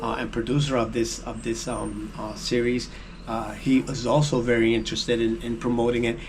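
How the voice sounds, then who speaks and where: Halting man, fillers, noisy recording. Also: mid-range voice, level tone. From United States. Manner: halting, full of fillers and restarts. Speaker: guest actor and producer. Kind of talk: casual interview.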